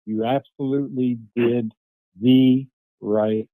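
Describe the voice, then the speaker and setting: An older retired man calling in to a phone meeting, earnest and deliberate. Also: mid-range voice, steady tone, no echo, narrow, tinny audio. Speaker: caller.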